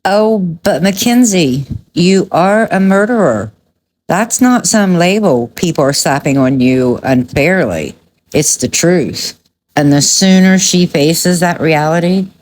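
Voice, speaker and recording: A woman's voice: sits low, expressive, unhurried, darkish tone, slight background noise, low-quality recording. A woman delivering conversational true crime commentary in a blunt, emphatic and judgmental way.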